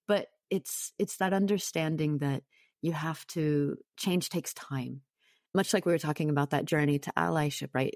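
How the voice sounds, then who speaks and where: Woman, low register, earnest podcast talk with restarts; dry close mic. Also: a little mellow, no room sound. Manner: earnest and reflective, thinks aloud, repeats words, laughs at herself. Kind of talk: casual podcast conversation.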